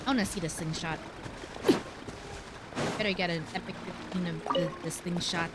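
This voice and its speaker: A woman's voice: on the low side, fairly even intonation, normal speed, heavy background noise. A woman streaming a game, chatting casually in a playful, informal way. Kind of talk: casual livestream gameplay chat. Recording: game music behind voice.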